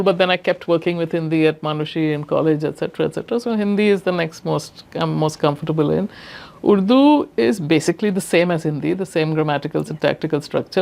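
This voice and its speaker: A woman's voice: low-pitched, gravelly. Older Indian woman, thoughtful and emphatic. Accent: Indian English. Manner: thoughtful, emphatic, frequent restarts and soft laughs. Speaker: interview guest, professor emerita. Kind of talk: reflective conversational interview.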